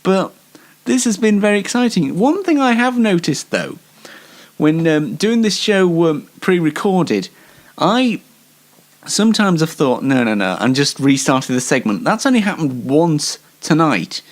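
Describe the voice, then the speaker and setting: A man's voice: fairly high pitch, sing-song, measured pace. Man rambling on his radio show. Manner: hesitant, self-deprecating, wry. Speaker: host of an amateur online radio show. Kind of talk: casual rambling solo radio chat.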